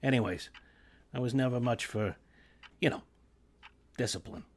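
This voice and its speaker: An actor reading a gentle, good-natured working man in his sixties for a radio play, talking hesitantly with many fillers. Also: medium pitch, normal speed, creaky. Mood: fond. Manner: hesitant, rambling, full of fillers.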